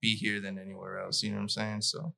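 A man's voice: fairly low pitch, monotone, fast talker, gravelly, sharp tone, no room sound. A laid-back actor chatting casually, tossing in "bro" and "man.". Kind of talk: casual chat.

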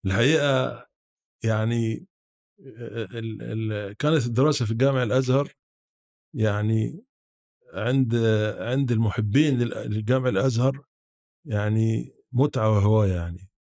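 A man in his seventies with an Egyptian accent, reminiscing in an interview in a halting way with repeated false starts. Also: mid-range voice, gravelly, a little mellow, dry recording, clean studio sound. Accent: rural-born Egyptian Arabic. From Sharqia, Egypt. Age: 70s. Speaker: podcast guest, academic.

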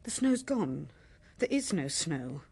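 Husky, anxious British mother. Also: low-pitched, big pitch swings, darkish tone, full character voice. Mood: exasperated. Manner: agitated, halting, breathy whispers. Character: anxious suburban mother and housewife, frightened and fretful. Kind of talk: British radio drama acting.

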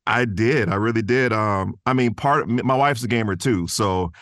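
A male podcast guest with a low, deep voice, chatting casually. He sounds easygoing and thinks aloud as he goes, recorded dry and without room sound. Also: moderate pace, fairly bright tone, no room sound. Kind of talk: casual chat.

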